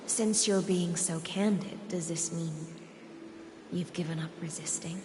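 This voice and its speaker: Woman as a slow, solemn low-voiced chronicler, echoing. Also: fairly even intonation, noisy background, lightly acted. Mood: cool, probing. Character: composed, solemn woman recounting ancient history. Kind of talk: dramatic video game voice acting. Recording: long cavernous reverb, music behind.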